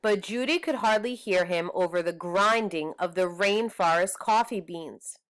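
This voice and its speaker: Slow-paced woman reading a storybook aloud. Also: mid-range voice, fairly bright tone. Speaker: teacher reading aloud to pupils. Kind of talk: storybook read-aloud narration.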